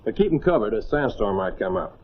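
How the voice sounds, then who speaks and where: An American man playing a steady, caring airman skipper who gives matter-of-fact orders in a 1940s film drama. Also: on the low side, brisk, slight background noise. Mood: steady, concerned. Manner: instructive, matter-of-fact, encouraging. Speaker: actor. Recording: muffled 1940s film soundtrack, faint music.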